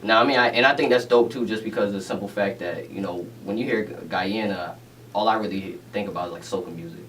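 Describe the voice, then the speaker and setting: A man's voice: fairly low pitch, fast talker, fairly bright tone. A man hosting a casual radio interview, upbeat with frequent affirmations and "you know what I'm saying". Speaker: radio DJ host. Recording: distant mic, faint room noise.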